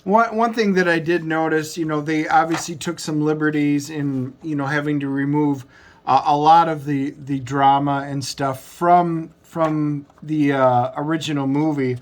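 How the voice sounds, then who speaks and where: Male video host: fairly high voice, informal, rambling review chat, unscripted. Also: steady tone, measured pace. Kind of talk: casual off-the-cuff review.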